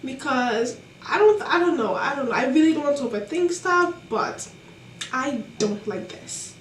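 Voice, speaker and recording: A young woman with a non-American accent telling college stories casually, animated and exasperated, with many fillers. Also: high voice, normal speed, roomy sound, distant mic, slight background noise. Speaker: college student vlogger. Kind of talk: casual storytelling to camera.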